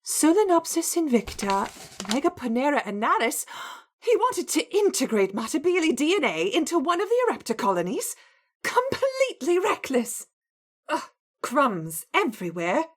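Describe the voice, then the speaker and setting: An actress playing a stern, easily exasperated female laboratory scientist with a brisk, indignant manner in a video game. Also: sits high.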